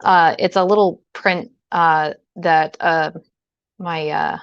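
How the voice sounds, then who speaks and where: A woman streamer with a creaky, mid-pitched voice, chatting casually as she tinkers. She rambles hesitantly and drops in playful asides. The sound is dry and somewhat dull. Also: steady tone, slow pace, no echo. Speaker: livestreamer, host. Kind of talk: casual livestream chat while tinkering with gear.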